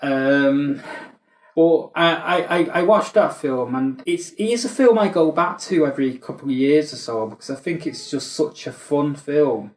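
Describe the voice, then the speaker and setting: A man chatting casually about film and TV as a podcast host, with a rambling, halting delivery. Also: fairly high pitch, expressive. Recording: roomy, echoey voice recording.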